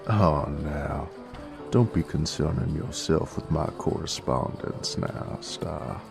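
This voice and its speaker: Man playing a slow, gravelly Western werewolf bounty hunter. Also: rumbling low, music in the background, heavily acted. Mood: teasing. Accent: American Western drawl. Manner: folksy, sardonic, coarse drawl. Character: gruff, teasing male werewolf bounty hunter of the Old West. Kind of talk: Western audio roleplay addressed to the listener.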